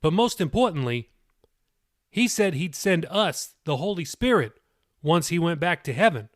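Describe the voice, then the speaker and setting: A man's voice: on the high side, sing-song, normal speed, dry recording, clean studio sound. A man voicing an earnest male pastor in an audiobook, reasoning aloud and teaching from scripture. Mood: explaining, speculative.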